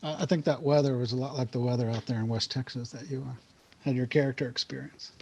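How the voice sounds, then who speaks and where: A man's voice: medium pitch, fairly even intonation, fast, creaky, dark tone, lo-fi sound, a little hiss or hum. Middle-aged man reading his memoir. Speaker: author. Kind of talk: literary reading then conversation.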